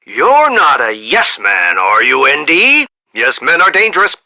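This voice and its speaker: An actor playing a proud, eccentric self-made American inventor tycoon in a video game, talking in a folksy, rambling, emphatic way. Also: male voice, fairly high pitch, huge pitch swings, unhurried, pushed and bright, dull audio, full character voice. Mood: jovial, blustery. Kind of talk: animated video game voice acting.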